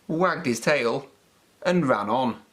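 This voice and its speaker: A woman narrating a children's storybook aloud in a deliberate, expressive manner. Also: extremely low pitch.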